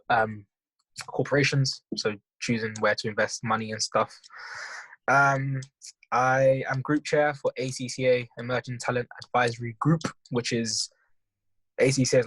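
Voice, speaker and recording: A man's voice: medium pitch, dry recording, rough recording. Young man chatting on a call. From Netherlands, raised in London. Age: young adult. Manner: relaxed, says "bro" often, laughs easily. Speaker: podcast co-host. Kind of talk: casual chat on a video call. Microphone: video call.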